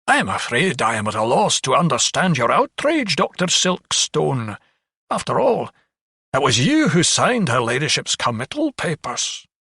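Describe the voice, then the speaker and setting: A man's voice: on the high side, huge pitch swings, normal speed, gravelly, sharp tone, husky, dry recording, clean studio sound, exaggerated voice. A man voicing an elderly physician in an audiobook, arrogant, dismissive and condescending. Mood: feigned puzzlement. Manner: condescending, blustering. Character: elderly Scottish male physician, arrogant, dismissive asylum principal.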